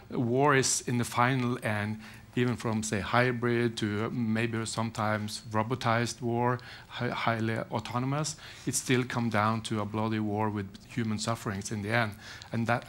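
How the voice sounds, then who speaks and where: A middle-aged man speaking on a security conference panel, measured and hesitant with frequent restarts, through a headset mic. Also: medium pitch, a bit bright, a little hiss or hum. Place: conference hall panel stage.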